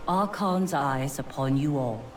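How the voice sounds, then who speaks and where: A woman's voice: extremely low pitch, lively intonation, a little slow, background noise. A woman voicing a stern, aloof winged superior in a video game, speaking formally and imperiously. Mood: solemn. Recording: slightly distant, faint music behind.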